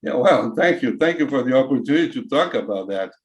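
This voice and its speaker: A Brazilian man in his sixties with a Brazilian Portuguese accent, whose first language is Portuguese, talks as a retired tech executive on a podcast. He speaks in halting bursts, circling back with "uh" and "you know" before landing on a point. His voice sits at a middle pitch for a man. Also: animated. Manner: halting, many fillers and restarts. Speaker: guest, retired CIO. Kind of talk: casual interview answers.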